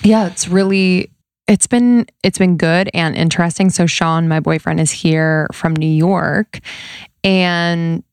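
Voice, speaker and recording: A woman's voice: lowish voice, steady tone, slow, smooth, no echo. A young woman co-hosting a podcast, chatting in an upbeat way with plenty of likes and ums. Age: young adult. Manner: upbeat, chatty, many fillers. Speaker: podcast co-host. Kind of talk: casual chat.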